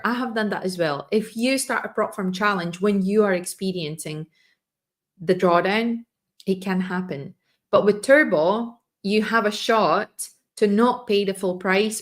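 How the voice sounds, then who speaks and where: A woman hosting a live trading stream, losing her voice and clearing her throat as she explains in a loose, restarting way. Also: mid-range voice.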